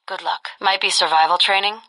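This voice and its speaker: A woman's voice: mid-range voice, steady tone, a little fast, sharp tone, low-quality recording. An actress playing a cool, wry, self-possessed woman photojournalist and sniper in a video game. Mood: focused, businesslike. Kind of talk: video game voice acting, naturalistic dialogue.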